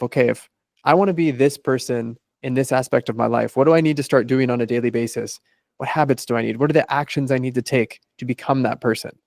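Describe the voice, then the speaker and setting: Gravelly-voiced man explaining in steps. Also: medium pitch, darkish tone, no echo, clean studio sound. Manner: methodical, lays out numbered steps. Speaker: life coach guest. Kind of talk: podcast interview.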